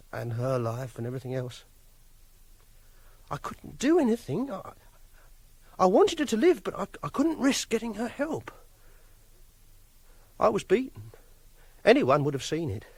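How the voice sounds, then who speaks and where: An actor playing a quiet, awkward, obsessive loner, speaking a halting, self-pitying confessional monologue in a radio drama. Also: male voice, fairly high pitch, big pitch swings, no room sound, full character voice. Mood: bitter, dejected, then agitated, defeated. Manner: halting, plain-spoken, brooding. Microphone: close, dry studio microphone.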